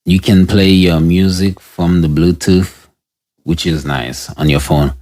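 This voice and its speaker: Deep-voiced man, slow and low. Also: fairly even intonation, a little mellow. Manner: unscripted, halting, restarts mid-sentence while testing gear. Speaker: product reviewer. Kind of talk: casual product review.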